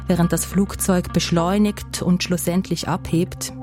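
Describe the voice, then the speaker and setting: A woman hosting a news podcast, reading a scripted narration in a calm, measured way. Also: fairly low pitch, soft background music. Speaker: podcast host.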